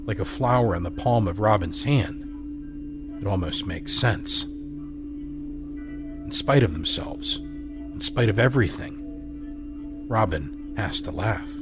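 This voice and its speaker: A man narrating an audio drama with a slow, soft, measured reading. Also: on the low side. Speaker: narrator and host. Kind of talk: scripted narration. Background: music score.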